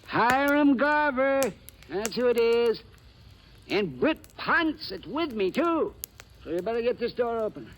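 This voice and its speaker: High-pitched, excitable old western prospector. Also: male voice, a little slow, full character voice. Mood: accusing, indignant. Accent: American. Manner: folksy, hectoring, rustic western talk. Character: cranky, suspicious, excitable old male prospector. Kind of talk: old-time radio western acting. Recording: vintage radio recording with background hiss.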